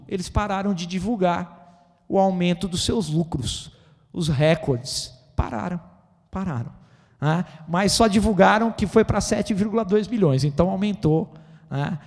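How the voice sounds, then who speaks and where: A Brazilian man with a Brazilian Portuguese accent giving a seminar lecture, animated and excitable, tagging his points with constant questions to the audience. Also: high voice. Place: auditorium. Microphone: handheld microphone. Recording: reverberant auditorium.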